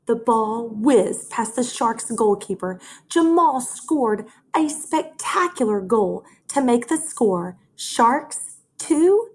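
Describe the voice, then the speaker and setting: A woman reading a children's story aloud in a measured, expressive way, as a teacher would for young listeners. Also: a little high, husky. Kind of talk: children's read-aloud narration.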